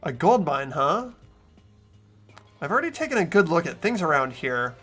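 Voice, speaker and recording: A man hosting a game playthrough, switching between casual commentary and expressive reading of the narration. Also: a little high, moderate pace, husky, faint music behind.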